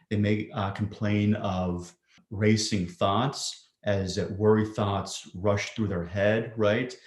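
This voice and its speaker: A man with a low voice explains anxiety as a psychiatrist, talking in a measured way with many ums and uhs. His voice rings in an echoey room. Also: darkish tone. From Greece. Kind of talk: explanatory interview answers.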